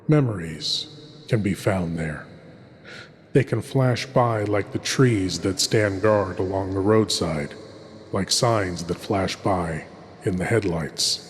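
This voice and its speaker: Man as slow, portentous horror host, cavernous reverb. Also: lowish voice. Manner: slow, portentous, deliberate pauses. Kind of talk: scripted horror anthology introduction. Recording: cavernous long reverb, faint noise.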